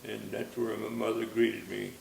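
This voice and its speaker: A man in his nineties who grew up in Austria tells his story in a gentle, halting way, going back over his words. His voice is heavily gravelly with fry. The room rings with echo, and a faint hiss sits under the recording. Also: lowish voice, level tone. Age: 90s. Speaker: interviewee. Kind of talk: reminiscing interview.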